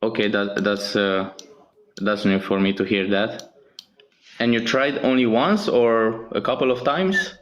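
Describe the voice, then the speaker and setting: A male caller with a Romanian accent, a native Romanian speaker, asking measured, hesitant questions in a call-in debate. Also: fairly low pitch. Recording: lo-fi call audio.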